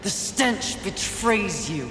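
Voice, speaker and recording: A woman's voice: mid-range voice, big pitch swings, slow, background noise. A woman playing a proud, impetuous warrior in a video game, with a commanding, defiant, dramatic delivery. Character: fierce, proud, impetuous female horseman warrior. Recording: echoing hall reverb under game music.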